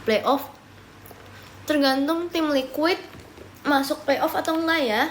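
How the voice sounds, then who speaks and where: A woman's voice: high-pitched, slow, slight background noise. A young woman live streaming, chatting casually as she answers viewer comments, often slipping into English mid-sentence while eating and sipping a drink.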